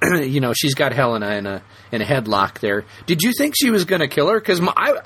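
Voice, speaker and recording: A man's voice: mid-range voice, very animated, fairly quick, fairly bright tone, breathy edge. A man co-hosting a casual chat show, joking and thinking aloud in a conversational way, with some background noise. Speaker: podcast co-host.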